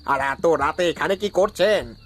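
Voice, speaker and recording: A man playing a curt, suspicious, irritable tea-stall keeper in an animated horror story. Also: fairly high pitch, a little slow, faint music behind. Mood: irritated.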